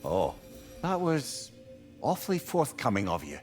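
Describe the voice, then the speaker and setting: A curious, cheeky game companion. His mid-pitched voice rises and falls widely, wry and inquisitive, with faint music behind. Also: wildly swooping pitch, a little slow, a little mellow. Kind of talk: video game character dialogue.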